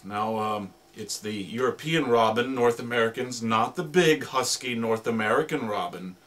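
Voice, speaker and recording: A man's voice: medium pitch, normal speed, a bit bright. A man giving an informal, explanatory demonstration of radio drama sound effects, piling up descriptive words as he talks.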